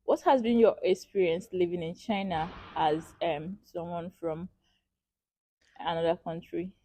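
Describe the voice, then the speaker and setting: Low-voiced friendly woman interviewer. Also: a little slow, mellow, a little hiss or hum. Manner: friendly, complimentary questioner. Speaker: street interviewer. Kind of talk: interview questions.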